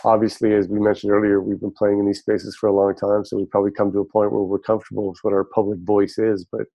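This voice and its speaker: Flat, dark-voiced man. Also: on the low side, gravelly, no room sound, muffled recording. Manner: thoughtful, measured, hedging with ums. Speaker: participant. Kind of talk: casual group discussion.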